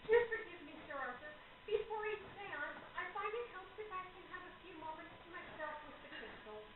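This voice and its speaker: A woman's voice: extremely high pitch, expressive, fairly quick. A woman playing a confident, provocative young spirit medium in a radio play, speaking in a solemn, theatrical manner. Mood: weary, gracious. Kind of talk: amateur radio play acting. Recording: echoey room, far off, tinny, very noisy.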